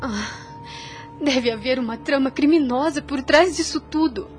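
A woman's voice: high-pitched, unhurried. A woman playing a devout, self-sacrificing young woman in a radio soap opera, with earnest, formal, literary delivery. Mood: resolute, pious. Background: music under the voice.

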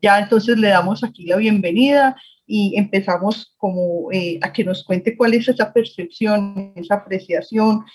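A woman with a Colombian accent and a slightly husky voice, hosting an interview and introducing a guest. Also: medium pitch, measured pace, darkish tone, soft background music. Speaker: host, interviewer.

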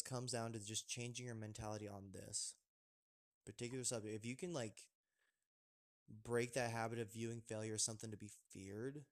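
A young man hosting a casual podcast chat, rambling with lots of fillers and restarts. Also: medium pitch. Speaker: podcast host.

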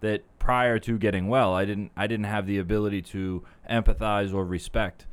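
A man's voice: on the low side, moderate pace, smooth. A man in casual group conversation, talking animatedly and swearing, acting out his past self with a raised voice. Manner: animated, swears, mock-quotes himself.

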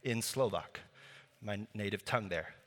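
A man's voice: on the low side, normal speed, fairly bright tone. A male pastor preaching a sermon, telling a story in a casual way with many fillers and restarts. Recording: long hall reverb.

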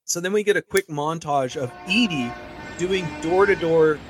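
A man's voice: fairly high pitch, moderate pace, music in the background. A man co-hosting a podcast, recapping a TV episode in a plain, matter-of-fact way. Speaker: podcast co-host. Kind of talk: casual chat, recapping plot.

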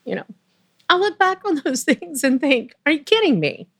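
A woman with a low voice telling a personal story as an interview guest, earnest and emotional, with pauses and restarts. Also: very animated, vocal fry.

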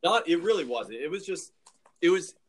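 A man's voice: on the high side, sing-song, normal speed, husky. A man hosting a casual podcast, moderating the chat in a loose, conversational way. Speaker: podcast host.

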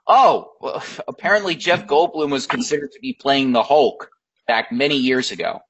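Male co-host, mid-pitch, loose rambling delivery, casual film talk. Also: animated, normal speed, fairly bright tone, slightly rough, dull audio. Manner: rambling, many fillers and restarts. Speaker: podcast co-host. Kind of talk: casual chat about films.

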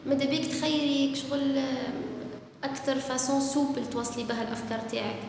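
A woman's voice: high-pitched, steady tone, fast. A woman with an Algerian Arabic accent, a screenwriter speaking thoughtfully in a casual interview and mixing in French words. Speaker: screenwriter guest. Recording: echoey room with long reverb, faint noise.

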